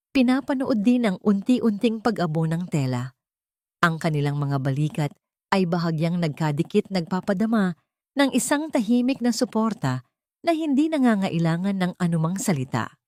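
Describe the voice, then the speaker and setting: A woman's voice: fairly low pitch, sing-song, moderate pace, warm tone, no echo, clean studio sound. A woman with a Filipino accent narrating a dramatic story from a script.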